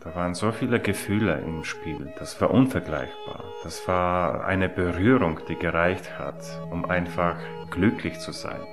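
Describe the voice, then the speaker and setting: Deep-voiced man. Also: measured pace, hardly any pauses, over loud music. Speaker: interviewee telling his life story. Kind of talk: personal documentary recollection.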